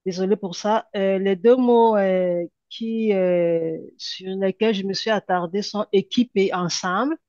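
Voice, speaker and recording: A woman introducing herself in an online meeting, halting and repeating words. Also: mid-range voice, fairly even intonation, a little mellow, no echo. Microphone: computer mic on video call. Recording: dull video-call audio.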